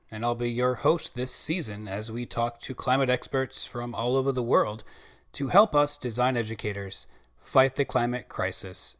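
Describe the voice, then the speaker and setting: A man's voice: mid-range voice, a bit bright, no echo, clean studio sound. A man hosting a podcast as a design educator, reading an upbeat scripted intro before chatting with his guest. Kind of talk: scripted intro then casual interview.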